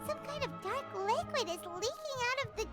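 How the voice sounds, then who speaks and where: A woman's voice: extremely high pitch, expressive, fairly quick, fairly bright tone. A woman voicing a naive, childlike little floating fairy in a video game, talking about herself in the third person. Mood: curious. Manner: speaks of self in the third person. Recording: game music behind the voice.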